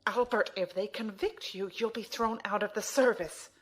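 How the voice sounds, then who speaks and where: A woman's voice: medium pitch, moderate pace, lightly acted. A woman playing a sentimental, demanding widowed mother of a grown son in a sci-fi audio drama, emotive and dramatic. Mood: indignant, pleading.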